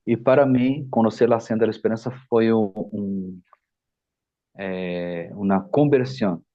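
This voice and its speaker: Man interpreting, low mellow voice, plain relay of phrases, muffled lo-fi recording. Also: measured pace, dry recording. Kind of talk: consecutive interpreting.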